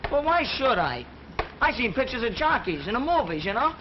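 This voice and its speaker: An actor playing a sarcastic young street kid who talks in rough slang, acted in 1940s film style. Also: male voice, extremely high pitch, sing-song, fairly quick. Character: wisecracking street kid in a gang. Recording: dull old film soundtrack with hiss.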